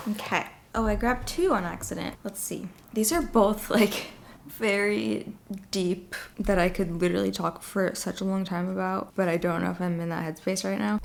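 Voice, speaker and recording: A woman hosting her own podcast alone at home late at night, chatting casually and rambling with lots of "like", her voice worn and slightly raspy from a long day of talking. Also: mid-range voice. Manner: rambling, filler-heavy talk with frequent "like". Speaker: podcast host. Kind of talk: casual solo chat.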